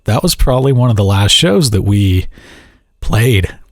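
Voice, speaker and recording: A man's voice: on the low side, lively intonation, moderate pace, no room sound, clean studio sound. A man from Florida hosting a casual interview, enthusiastic and rambling, laughing often. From Florida, USA. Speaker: podcast host.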